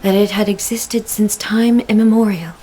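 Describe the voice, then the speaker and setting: A woman voicing a solemn, regal, ageless female ruler in a game, speaking formally and with measured grief. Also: mid-range voice, steady tone, warm tone, slight background noise, natural voice, poor audio. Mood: solemn, reflective. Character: solemn, regal female ruler, ageless, grieving her lost loved one. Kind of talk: scripted game dialogue, formal storytelling. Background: game music.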